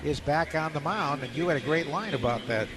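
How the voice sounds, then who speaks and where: A man's voice: a little high, lively intonation, fairly quick, a crowd in the background. A man doing live radio play-by-play commentary of a baseball game from a stadium booth. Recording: noisy outdoor stadium ambience.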